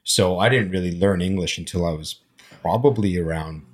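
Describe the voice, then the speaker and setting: A man's voice: deep voice, moderate pace, husky. A man in his forties who grew up speaking Armenian and Arabic, reflecting on his past in a casual conversation. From immigrant, grew up speaking Armenian and Arabic. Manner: reflective, storytelling, frequent fillers. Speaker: panel guest.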